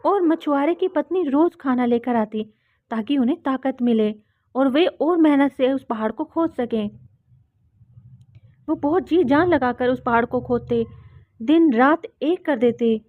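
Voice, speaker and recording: A woman's voice: high-pitched, a little slow, no room sound, phone-quality sound. A woman reading scripted narration for a children's cartoon story.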